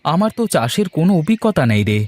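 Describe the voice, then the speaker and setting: A man's voice: on the high side, sing-song, fast talker, a little mellow, slight background noise. A man playing an easygoing village man in a story, talking in a familiar, musing, colloquial way. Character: easygoing village elder brother Pratap, would-be businessman farmer. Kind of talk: acted village story dialogue.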